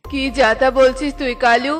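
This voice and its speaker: Casting: proud older-brother bird, high voice, firm and indignant, played by a woman, slightly off-mic with music. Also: steady tone, moderate pace, distant mic, close to an everyday voice. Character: upright, stubborn male bird, sentimental older brother. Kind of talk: cartoon character voice acting.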